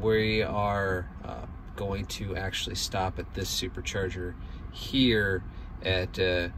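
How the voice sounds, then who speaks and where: Man, forties, low voice, casual car vlog, phone at arm's length, faint background noise. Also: a bit bright. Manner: chatty, plain-spoken, many ums and uhs. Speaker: road trip vlogger. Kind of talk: casual vlog talking to camera. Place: inside a parked car at a charging station. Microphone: phone camera at arm's length.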